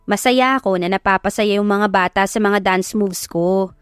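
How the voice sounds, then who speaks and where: A woman with a Filipino accent voicing a bubbly, energetic young mascot performer in an audio drama. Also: mid-range voice, normal speed, smooth, no echo, clean studio sound, natural voice. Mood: cheerful. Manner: energetic, chirpy. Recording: background music under the voice.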